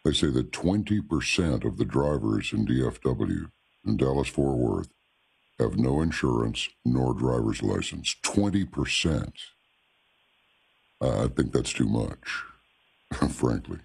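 Very deep gravelly male radio host. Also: expressive, measured pace, a little mellow. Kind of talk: talk radio conversation.

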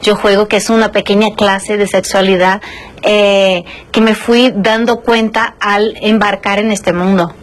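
Woman, mid-pitched, interview guest. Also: steady tone, a little slow. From Brazil. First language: Portuguese. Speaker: interview guest, film director. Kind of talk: conversational interview answers.

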